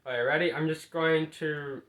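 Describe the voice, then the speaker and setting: An excitable speaker chatting casually while playing songs for a friend. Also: medium pitch, normal speed.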